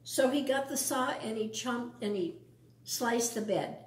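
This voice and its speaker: A woman's voice: fairly high pitch, a little slow, reverberant. A woman reading a picture book aloud to young children, adding casual explanations and questions. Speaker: storytime reader.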